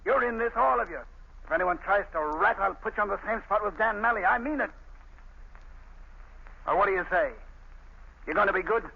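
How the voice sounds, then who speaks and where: A man's voice: sits high, fairly quick, vocal fry, long pauses, breathy edge, full character voice. An actor playing a ruthless male gang boss in a 1930s radio drama, snarling out commands and threats. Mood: menacing. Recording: tinny, hissy old radio broadcast.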